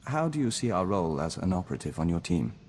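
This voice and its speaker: A man's voice: low voice, big pitch swings, fast, darkish tone. A man playing a serene diplomat joined to an insect hive mind in a game, speaking formally and with measure, calling himself "we.". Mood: earnest, thoughtful. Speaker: game companion character. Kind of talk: scripted game dialogue acting. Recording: reverberant hall, clear background noise.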